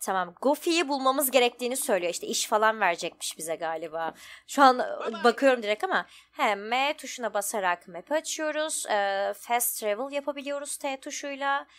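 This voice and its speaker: A woman's voice: on the high side, lively intonation, brisk, a bit bright. A woman giving casual, informal let's play commentary, thinking aloud as she goes. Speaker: gaming streamer.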